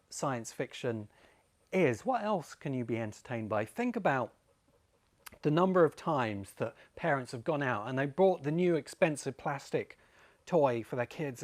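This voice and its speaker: A British man in his forties presenting an informal explanatory talk as host, speaking emphatically and deliberately with pauses for effect. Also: mid-range voice. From Great Britain. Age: 40s. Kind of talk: informal explanatory video essay.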